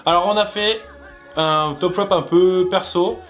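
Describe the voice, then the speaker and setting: Guy with a slightly high voice co-hosting a casual explainer, jokey, breaks off his own sentences. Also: steady tone, unhurried, fairly bright tone, faint music behind. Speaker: co-host of a web show. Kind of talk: casual explainer chat with co-host.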